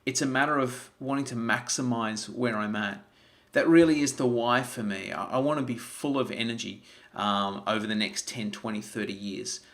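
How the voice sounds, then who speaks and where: An earnest man talks through a motivational livestream. His voice sits at an ordinary mid pitch, and he often repeats words and restarts his sentences as he thinks aloud. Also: steady tone, normal speed, fairly bright tone. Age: 40s. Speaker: health vlogger. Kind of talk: live-streamed motivational talk.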